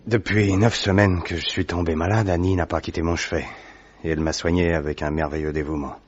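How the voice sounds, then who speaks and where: A man's voice: low voice, steady tone, brisk, dry recording. An actor in his thirties playing a recovering young diplomat who speaks politely and earnestly in a vintage radio drama. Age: 30s. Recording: dry, dull old radio recording.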